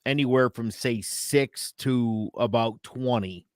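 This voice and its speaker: A man co-hosting a casual podcast, bringing up topics and telling stories in a relaxed way. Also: medium pitch, slow pace, dry recording, clean studio sound. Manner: relaxed, storytelling, raises topics. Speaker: podcast co-host.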